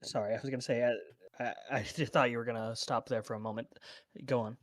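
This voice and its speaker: A man playing a tabletop game, narrating his character's actions in a drawn-out, amused way. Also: medium pitch, fairly even intonation, no echo, clean studio sound.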